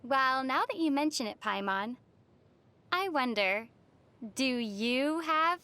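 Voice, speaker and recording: A woman voicing a young female adventurer in a video game, gentle and lightly teasing in manner. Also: sits high, lively intonation, takes it slowly, smooth, heavily acted. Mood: curious. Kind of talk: video game character acting.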